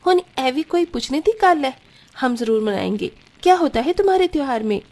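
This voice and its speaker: A woman's voice: high voice, lively intonation, a little fast, darkish tone. A woman voicing a hot-tempered, scornful Punjabi sister-in-law in a cartoon, taunting with a Punjabi accent. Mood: eager, agreeable. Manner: scolding, taunting.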